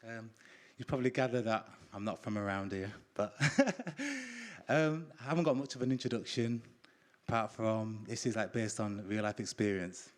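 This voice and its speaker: A man reading his own first-person story at a live event, measured and descriptive, voicing bits of dialogue. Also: medium pitch.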